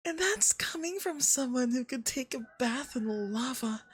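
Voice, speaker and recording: Someone doing a smug femboy god for asmr, high and swoopy, super full of himself, flirty and possessive, breathy. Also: expressive, a bit bright, no room sound, exaggerated voice. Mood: haughty, possessive.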